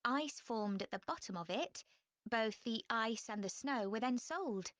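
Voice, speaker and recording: A young female student talks through a class project in a scripted exam-listening dialogue. Her voice sits a bit high and is recorded very dry. She is measured, chatty and politely frank. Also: lively intonation, fairly bright tone, no echo. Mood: informative, interested.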